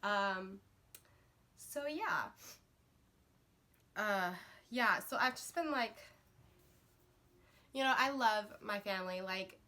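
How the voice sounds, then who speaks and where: A woman's voice: medium pitch, lively intonation, slow pace, pauses often, sharp tone. A woman book vlogger getting over a cold, chatting to camera in a rambling way with lots of fillers and restarts. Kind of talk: casual chat to camera.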